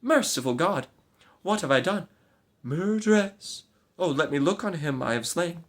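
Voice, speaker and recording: A man voicing a terrified young noblewoman in a dramatic gothic reading, pleading in a strained falsetto. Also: expressive, normal speed, a bit bright. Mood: horrified. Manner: pleading, breathless cries.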